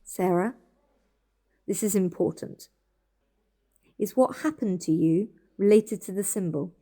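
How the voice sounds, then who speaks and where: British woman, anxious and insistent, warm dark-edged voice, naturalistic radio play. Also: mid-range voice, measured pace. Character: anxious, insistent woman questioning her relatives in hospital. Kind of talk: naturalistic audio drama acting.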